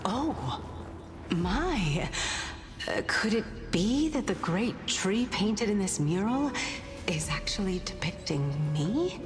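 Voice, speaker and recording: A playful, curious female deity speaks from a long reverberant space over music. She takes her time, musing and teasing in a theatrical, slightly low female voice, as in a fantasy video game. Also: very animated, slow. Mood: mock-flattered. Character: teasing, curious female deity of reason living in a man's mind.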